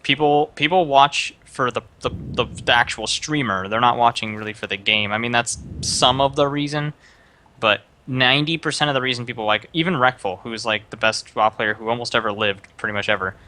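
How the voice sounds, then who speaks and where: Bright-voiced young man giving advice. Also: mid-range voice, brisk, slight background noise. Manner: rambling, many fillers and restarts, advising. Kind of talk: casual live-stream chat.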